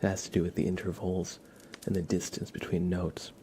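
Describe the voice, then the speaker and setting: Male ASMR live streamer, low and mellow, soft with slips into whisper, informal halting explainer, slight noise behind. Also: level tone.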